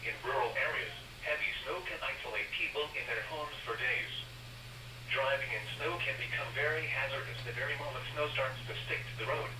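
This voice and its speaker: A man reading scripted public information as a weather radio announcer, in a formal, measured, synthetic-sounding delivery over the radio. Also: medium pitch. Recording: roomy, distant, noisy weather radio broadcast.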